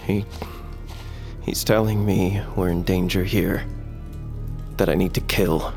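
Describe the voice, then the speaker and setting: A man's voice: low-pitched, steady tone, slow, over music. An actor playing a grieving, defiant young warrior in an audio drama, his emotional voice rising in outbursts. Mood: uneasy, urgent. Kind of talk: audio fiction drama acting.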